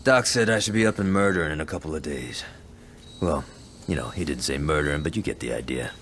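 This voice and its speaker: Very deep, cocky gangster. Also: male voice, fast, noisy background. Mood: cocky, wry. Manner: laconic, blunt, wry. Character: gruff, cocky, murderous male gangster. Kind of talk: video game cutscene acting.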